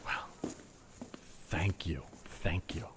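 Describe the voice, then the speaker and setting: A man improvising a desperate, sheepishly philosophical shopper in a comedy scene, halting and rambling as he earnestly justifies himself. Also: deep voice, noisy background. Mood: relieved, musing.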